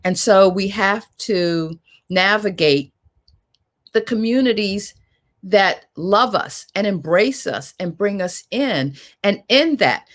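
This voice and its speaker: Female panel moderator, mid-range pitch, measured and articulate, reflective delivery.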